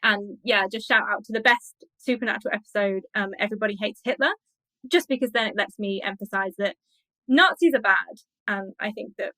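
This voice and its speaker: Woman, interview guest, bright forceful tone, dry recording, enthusiastic, chatty, casual academic talk. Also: mid-range voice, fairly quick, dry recording, low-quality recording. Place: indoor office on a video call.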